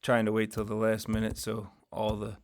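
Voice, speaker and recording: Low gravelly guy going over his fund trades, kinda monotone, getting hoarse, lots of uh and um. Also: normal speed, a little hiss or hum. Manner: conversational, rambling, many fillers and self-corrections. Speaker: finance channel host. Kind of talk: casual screen-share market recap.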